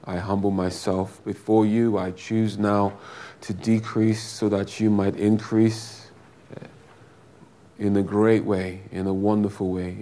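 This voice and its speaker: A man with a big booming voice preaching a sermon and praying fervently, drawing out his phrases and repeating them for emphasis. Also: warm tone, slight background noise. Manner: slow, drawn-out, fervent delivery with repeated phrases. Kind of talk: sermon with prayer.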